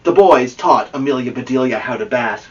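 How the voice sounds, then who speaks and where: Animated man reading a children's story. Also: mid-range voice, brisk, muffled recording, a little hiss or hum. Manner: expressive, addresses a young co-host with questions. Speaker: host of children's story podcast. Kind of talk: animated picture-book read-aloud. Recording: slightly distant from the microphone.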